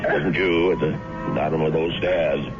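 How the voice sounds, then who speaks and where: Brief: a reassuring country doctor in a radio play, very low voice, dull old broadcast sound. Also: male voice, steady tone, measured pace, music in the background. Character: kindly middle-aged male town doctor. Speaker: actor in a radio drama. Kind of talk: 1970s radio drama acting.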